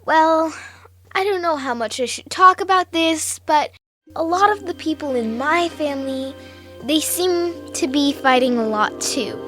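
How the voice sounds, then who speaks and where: A woman's voice: sits high, unhurried. A woman playing an earnest, curious, worried young schoolgirl in an audio drama, with music behind her. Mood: troubled, earnest.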